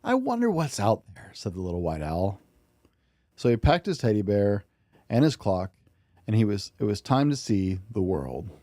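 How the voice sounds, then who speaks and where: A man hosting and reading a children's picture book aloud in an expressive, gentle bedtime-story way. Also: fairly low pitch, moderate pace, no room sound, studio quality. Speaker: podcast host and storybook reader.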